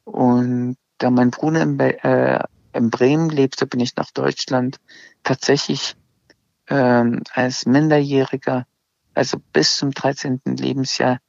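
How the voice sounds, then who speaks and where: A middle-aged man with a heavily gravelly voice, speaking slowly and hesitantly, often restarting his sentences. His is Azerbaijani. The recording is dry and slightly dull. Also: medium pitch, flat delivery, darkish tone, dry recording. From Iran. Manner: hesitant, many restarts and fillers. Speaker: interview guest. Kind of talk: casual conversation.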